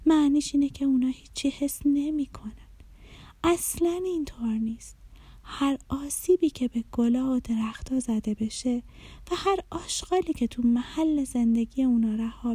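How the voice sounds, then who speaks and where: A woman voicing a kind, gentle female dream fairy in a children's story, speaking softly and tenderly. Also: a little high, husky, no room sound. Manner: soft, hushed, tender.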